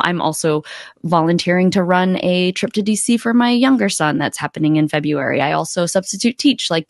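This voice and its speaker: A woman's voice: lowish voice, no echo. A woman in her forties with an American accent, giving casual conversational advice as a podcast guest on a close microphone. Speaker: podcast guest, accountability coach. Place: home room indoors, video call.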